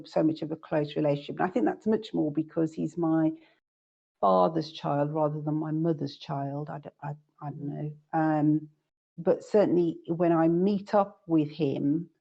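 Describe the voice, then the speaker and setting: Low gravelly woman, lo-fi. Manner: hesitant, many ums and restarts, laughs easily. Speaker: guest. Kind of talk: casual interview chat. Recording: dull lo-fi sound.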